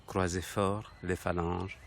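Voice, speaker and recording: Low-voiced man reciting poetry. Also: level tone, slow pace, vocal fry, slight background noise. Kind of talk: poetry reading.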